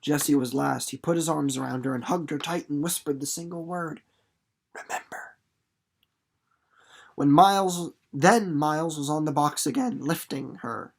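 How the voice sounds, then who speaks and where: A man reading a story aloud expressively and explaining it casually in an animated, folksy, playful teacher's manner. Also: a little high, normal speed, vocal fry, few pauses.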